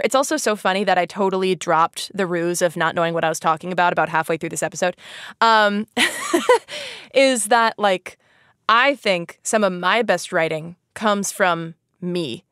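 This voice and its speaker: A woman's voice: medium pitch, expressive, moderate pace, a bit bright, clear, resonant tone, no room sound, clean studio sound. A woman hosting a casual educational podcast, chatting informally with plenty of "like" and an easy laugh. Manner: giggly, laughs easily. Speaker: podcast host.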